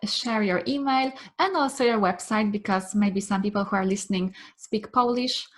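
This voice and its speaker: A woman's voice: medium pitch, normal speed. A woman hosting an interview on a video call, encouraging her guest with frequent affirming murmurs. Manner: encouraging, frequent mm-hmm backchannels. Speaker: interview host, coach. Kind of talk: casual interview conversation.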